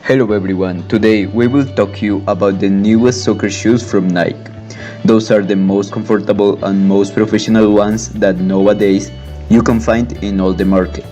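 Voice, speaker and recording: A man with a Colombian Spanish accent giving an earnest sales pitch in a mock advertisement. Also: steady tone, normal speed, warm tone, highs cut off, faint music behind. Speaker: ad presenter.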